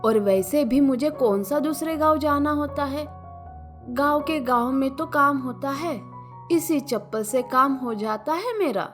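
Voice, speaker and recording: A woman's voice: high-pitched, a little slow, fairly bright tone. A woman playing a humble, affectionate old village mother in a cartoon story, exclaiming expressively. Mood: humble, fond. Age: older. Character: humble, fond old village mother who cleans houses. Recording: music bed behind the voice.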